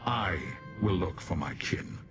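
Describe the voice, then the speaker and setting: A man voicing a proud, stern Dremora demon warrior in a fantasy video game, speaking formally and with measured disdain. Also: low voice, animated, music in the background. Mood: stern, commanding. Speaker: non-player character in fantasy game. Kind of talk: scripted video game dialogue.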